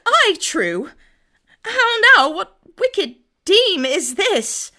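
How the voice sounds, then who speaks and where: A woman's voice: very high voice, big pitch swings, very slow, bright, forceful tone, full character voice. A woman playing a grief-stricken young Trojan noblewoman in love, speaking classical verse with theatrical emotion in an audio drama. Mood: hurt, pleading.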